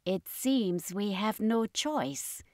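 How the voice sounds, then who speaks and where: A woman's voice: medium pitch, expressive, extremely slow, a little mellow, no room sound, studio quality. A woman reading a storybook, voicing a principled, indignant Martian. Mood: regretful.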